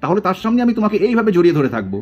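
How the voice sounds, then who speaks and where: A woman's voice: medium pitch, big pitch swings, racing, a little mellow, soft background music. A woman voicing a devoted young man doll in a puppet drama, earnest and coaxing. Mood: playful, indignant.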